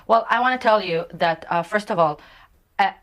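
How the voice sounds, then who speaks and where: A woman's voice: mid-range voice, moderate pace. A woman arguing as a guest in a heated television debate, insistent and repeating her points.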